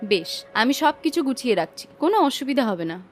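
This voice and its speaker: A woman playing an affectionate, bossy wife in a cartoon fairy tale, with a Bengali accent and an expressive manner. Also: high voice, fairly quick, soft background music. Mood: pleased. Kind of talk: cartoon voice acting, domestic dialogue.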